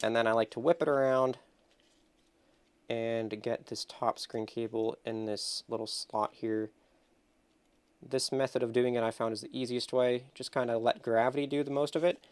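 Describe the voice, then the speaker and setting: A man's voice: mid-range voice, steady tone, moderate pace, smooth, no room sound. A man with a slightly congested, nasal voice talks through a hands-on build in a casual, upbeat tutorial style. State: nasal from feeling under the weather. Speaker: host of a console-building tutorial channel.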